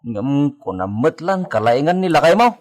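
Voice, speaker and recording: A man plays a village henchman in a radio drama. His voice is high for a man, and he sounds worried, cautious and reluctantly obedient. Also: sing-song, normal speed, darkish tone. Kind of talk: Ilocano radio drama acting.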